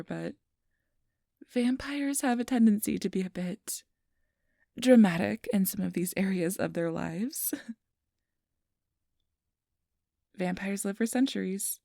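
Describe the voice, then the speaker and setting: A woman playing a friendly, easily flustered registration clerk in a soft-spoken ASMR roleplay, gentle, hesitant and reassuring. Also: mid-range voice, vocal fry, no echo, studio quality. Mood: amused, chatty. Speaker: interviewer.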